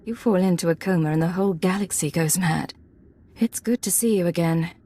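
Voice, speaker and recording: A guarded secret agent talks in a slightly low voice. She is cool, clipped and no-nonsense, acted as in a scripted video game. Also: brisk, mellow, husky. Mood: cool, businesslike.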